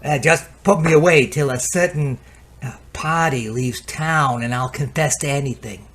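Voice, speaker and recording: A man playing a nervous, scheming, hapless fellow in an old-time radio comedy, halting and coaxing. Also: medium pitch, a bit bright, natural voice. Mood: nervous, eager.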